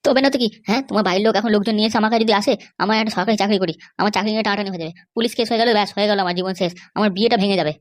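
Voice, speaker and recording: A woman's voice: mid-range voice, rapid-fire, few pauses, lightly acted. A woman voicing an irritable, anxious young groom-to-be in a comedy cartoon, scolding in an exasperated way.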